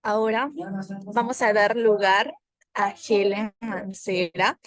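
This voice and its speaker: A woman's voice: mid-range voice, steady tone, takes it slowly. A woman moderator thanking one speaker and introducing the next in a formal way.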